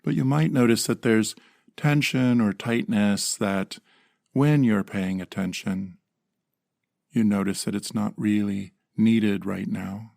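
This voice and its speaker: Male meditation guide: low, gravelly voice, calm measured phrasing with generous pauses, very dry sound. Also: no room sound.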